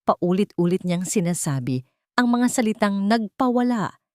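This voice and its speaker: A woman narrating a romantic drama story in a measured, emotive storytelling manner. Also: medium pitch, lively intonation, a little mellow, no echo, clean studio sound.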